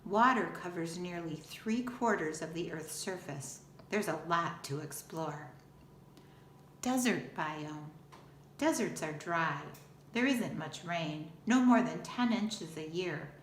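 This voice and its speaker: A woman in her fifties, a teacher reading a children's picture book aloud in an expressive, deliberate way. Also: on the low side. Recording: roomy echo, faint background noise.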